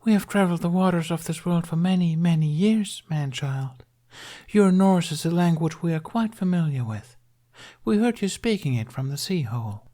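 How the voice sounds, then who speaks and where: A man voicing a serene, curious young mermaid in a smooth voice with formal, old-fashioned phrasing. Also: on the high side, lively intonation, moderate pace, warm tone, pitched above the performer's own voice, lightly acted. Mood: kindly, inviting.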